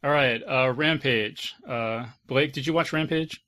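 Male podcast host, mid-pitched, conversational and frank, casual panel chat. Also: measured pace, bright, forceful tone. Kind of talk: casual panel discussion of films.

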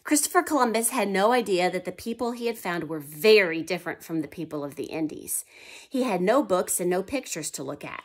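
A woman with a fairly low voice reads history aloud to young children. She asks them questions and answers them herself. She drops to a whisper for the tense parts. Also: lively intonation, fairly quick. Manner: pitches up for dramatic quoted lines. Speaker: homeschool history teacher.

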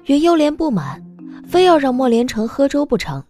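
A woman narrating a scripted audio drama in an expressive storytelling manner. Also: high-pitched, moderate pace. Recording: music bed behind the voice.

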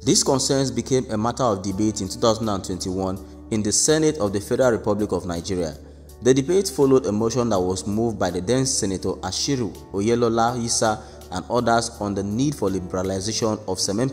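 Man, news narrator, low voice, steady read-aloud delivery, long reverb, faint music behind. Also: brisk.

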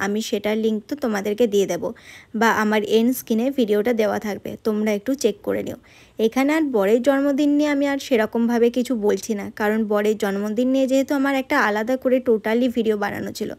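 A woman's voice: on the high side, darkish tone. A woman narrating her road trip vlog in a chatty voice-over, talking straight to her viewers. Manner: chatty, addresses viewers directly with tag questions. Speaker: travel vlogger.

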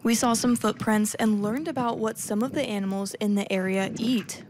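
A woman's voice: medium pitch, steady tone, normal speed, background noise. A young woman news reporter narrating a forest hike in an upbeat, scripted way, also speaking outdoors on camera. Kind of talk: voice-over narration and on-camera stand-up.

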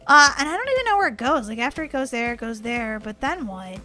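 A woman giving playful, excitable gameplay commentary in a childlike way as host of a gaming video. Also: on the high side, quick pace, faint music behind.

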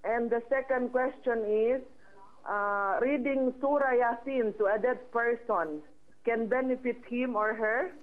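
A woman from the Philippines calling into a TV show to ask a question, speaking politely and haltingly with Arabic phrases mixed in. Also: a little high, fairly even intonation. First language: Filipino language. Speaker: caller. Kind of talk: phone-in question. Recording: tinny phone line.

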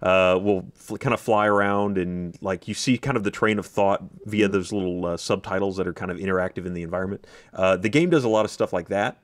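A man's voice: deep voice, brisk, no echo. A man in his thirties co-hosting a gaming podcast, chatting casually in a playful, joking way. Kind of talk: casual chat. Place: podcast studio, indoors. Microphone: studio podcast microphone at desk.

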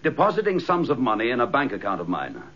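A man playing a steady, determined police inspector in a radio drama, measured and authoritative, with a kindly streak. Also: mid-range voice, a little hiss or hum. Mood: firm. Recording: dull lo-fi radio drama recording.

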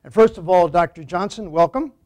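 A man's voice: high voice, brisk, darkish tone. A man who is a priest and university archivist, formally introducing and questioning a guest in an oral history interview. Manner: formal, courteous, frequent affirming murmurs.

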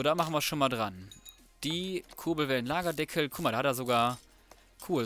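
A man's voice: medium pitch, fairly bright tone. A man giving casual, colloquial let's play commentary, muttering to himself as he goes. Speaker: gaming video host.

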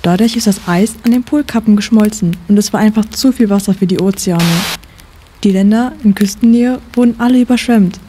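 A woman's voice: medium pitch, a little fast, mellow, slight background noise, lightly acted. A woman playing a practical, knowledgeable young forest survivor in an amateur audio drama. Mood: matter-of-fact.

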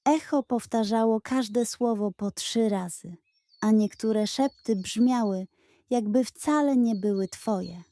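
A woman narrating a scripted bedtime adventure story for children in a slow, dramatic storytelling manner, recorded close on a studio microphone. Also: on the high side, warm tone, no echo.

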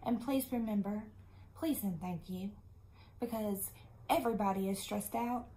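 A woman in her thirties giving young children a lesson, speaking as an encouraging, deliberate teacher. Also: mid-range voice, warm tone, a little hiss or hum. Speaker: elementary school teacher. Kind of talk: children's video mini lesson.

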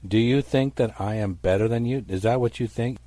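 A man's voice: mid-range voice, fairly quick, warm tone, no room sound. A middle-aged man preaching a stern, accusatory fire-and-brimstone sermon in an emphatic, declamatory way.

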